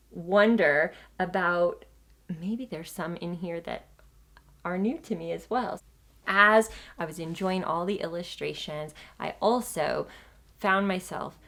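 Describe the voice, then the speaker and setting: A woman hosting a children's show, talking softly and encouragingly about a picture book. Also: fairly low pitch. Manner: soft, encouraging, full of wonder. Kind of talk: gentle read-aloud book talk for children.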